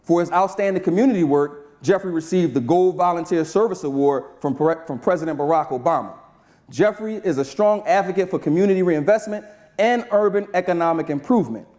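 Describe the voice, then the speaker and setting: A man's voice: high-pitched, a little fast, a little mellow. A man hosting a men's breakfast show, upbeat and conversational, who reads the guest's bio and then keeps asking "right?" as he talks. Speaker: host. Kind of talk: casual interview. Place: event room with a live audience.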